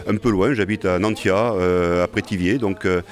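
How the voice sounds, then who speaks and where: A man with a low voice speaks unhurriedly, pausing between phrases. He sounds relaxed and easy as he talks. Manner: slow, relaxed, with pauses.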